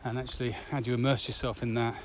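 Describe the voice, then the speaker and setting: A man's voice: medium pitch, flat delivery, quick pace. A man co-hosting a podcast in casual, reflective discussion, recorded outdoors while walking. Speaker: podcast co-host, corporate trainer. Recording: outdoor recording with faint traffic noise.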